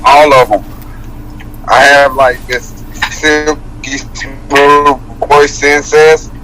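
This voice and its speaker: A man with a mid-pitched, bright voice, trading deadpan jokes as an interview guest. Faint background noise runs under him. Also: drawn-out words, rough recording. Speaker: rapper guest. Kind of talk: casual interview banter.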